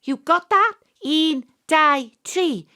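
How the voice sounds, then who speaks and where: Excitable welsh lady detective, bossy panto style, high and really swoopy. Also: takes it slowly, full character voice. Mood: playful, theatrical. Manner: theatrical, exclamatory, addresses the audience. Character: bossy, excitable female private investigator. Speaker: lead actor. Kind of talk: panto-style audio drama acting.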